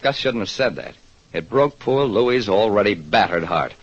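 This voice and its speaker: Animated American radio detective. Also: male voice, on the low side, normal speed. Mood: concerned. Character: wry, steady male private detective narrating his case. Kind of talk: 1940s radio detective narration. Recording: dull, clearly noisy old radio recording.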